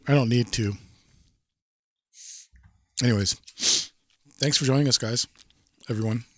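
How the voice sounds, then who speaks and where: A man's voice: medium pitch, unhurried, a bit bright, dry recording. A man hosting a podcast in casual, joking banter while sniffling. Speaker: podcast host. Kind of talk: casual chat.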